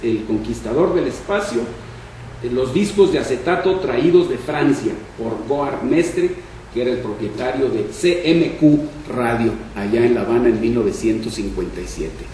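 Mexican guy in his 60s from up north reading a talk at a panel, mellow voice, super echoey room w a bit of hiss. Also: medium pitch. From Monterrey, Mexico. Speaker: journalist, panel speaker. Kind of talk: prepared talk, reading from notes aloud. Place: conference room panel, indoors. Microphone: shared table microphone on stand. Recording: echoey room, distant mic, faint noise.

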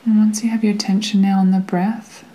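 A woman guiding a meditation in a gentle, soothing way, with a soft, sometimes breathy voice and long pauses between phrases. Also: mid-range voice, flat delivery, low-quality recording. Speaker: meditation guide. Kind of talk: guided meditation narration.

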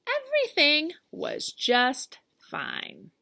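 A woman reads a children's picture book aloud like a teacher. Her voice is bright and very expressive, with lively pitch movement. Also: drawn-out words, slight background noise. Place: outdoors on a deck among trees.

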